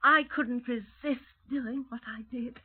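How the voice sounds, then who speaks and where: An actress playing a brash, flighty, vain music hall star in a 1950s radio crime drama, with a theatrical, self-important, gushing manner. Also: female voice, on the high side, steady tone, measured pace, slightly rough. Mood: coy, gushing. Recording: tinny, narrow-band 1950s radio recording.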